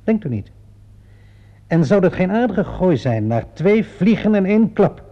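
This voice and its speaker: Een acteur speelt in een hoorspel een sluwe, vasthoudende inspecteur die nadrukkelijk en schijnbaar beleefd ondervraagt. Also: male voice, high-pitched, big pitch swings, exaggerated voice. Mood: methodical, pressing. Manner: insinuating, theatrically deliberate, mock-polite questioning. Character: shrewd, relentless male police inspector cornering a suspect. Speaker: actor playing a police inspector. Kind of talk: radio play acting. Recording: dull, muffled vintage radio play recording.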